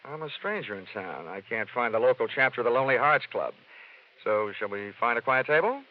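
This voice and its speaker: An actor playing a wisecracking hard-boiled American investigator, deadpan and flirtatious, in a 1950s radio detective drama. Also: male voice, mid-range voice, a little fast, close to an everyday voice. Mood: sardonic. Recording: dull 1950s radio recording, music behind.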